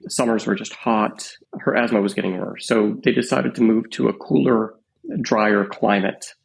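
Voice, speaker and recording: A man in his fifties, a guest on a podcast, telling his life story in an unhurried, reflective way with many small pauses. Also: fairly low pitch, fairly even intonation, vocal fry, poor audio. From Canada. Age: 50s. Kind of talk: casual storytelling interview.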